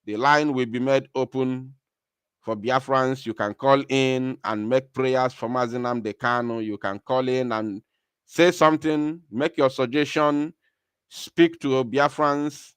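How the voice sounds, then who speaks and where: Smooth-voiced Nigerian man hosting a live broadcast. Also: medium pitch, steady tone, unhurried, no room sound. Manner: emphatic, repeats phrases for stress. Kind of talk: live political call-in broadcast, news commentary.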